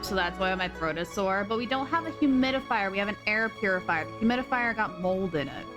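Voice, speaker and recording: A young woman with a sore throat chatting casually and excitably on a live stream, scatterbrained and full of gasps. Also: medium pitch, fast talker. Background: background music.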